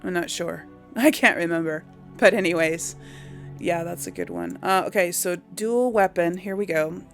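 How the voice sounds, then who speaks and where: A woman talks through a video game in a casual, musing manner. Her voice sits slightly low with a noticeable creak, and she hesitates as she thinks out loud. Quiet music plays underneath. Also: very animated, normal speed. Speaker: video game let's player.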